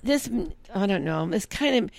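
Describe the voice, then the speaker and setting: A woman's voice: medium pitch, animated, normal speed, creaky. A woman co-hosting a casual comedic chat, telling stories in a playful, rambling way. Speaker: podcast co-host.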